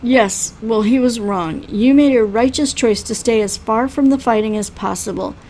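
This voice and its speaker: A woman reading a visual novel aloud as a grave male healer, measured, earnest and stern but caring. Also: a little high, a little mellow, poor audio. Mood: reassuring.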